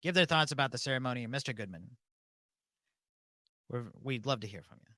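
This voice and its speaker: A man's voice: medium pitch, animated, a little fast, pushed and bright, dry recording. A man hosting a call-in show in a casual, chatty style. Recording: music behind the voice.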